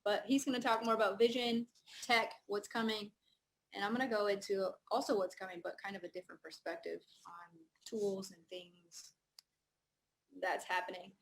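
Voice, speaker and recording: A woman's voice: medium pitch, steady tone, normal speed, creaky. A woman co-hosting a company call in a cheerful, casual way, a little far from the mic. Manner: cheerful, talks over a weak mic by raising her voice. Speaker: co-host of a company team call.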